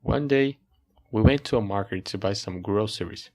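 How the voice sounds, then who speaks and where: A man's voice: lowish voice, normal speed, a little mellow. A male student from Brazil giving read-aloud narration for a class project. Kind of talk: scripted narration.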